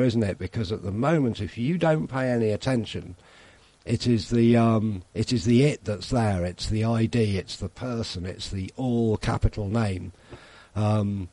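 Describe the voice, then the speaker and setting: A man's voice: lowish voice, dark tone, no room sound. A man talking casually, explaining ideas thoughtfully and checking for agreement. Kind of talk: casual podcast conversation.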